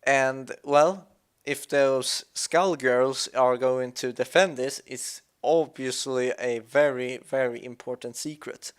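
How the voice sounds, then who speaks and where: Slow-paced man, mid-pitched, halting and thoughtful, unscripted game commentary. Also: steady tone, clear, resonant tone. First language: Swedish. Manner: halting, searching for words, thoughtful. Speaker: let's play commentator. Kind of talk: reflective gameplay commentary.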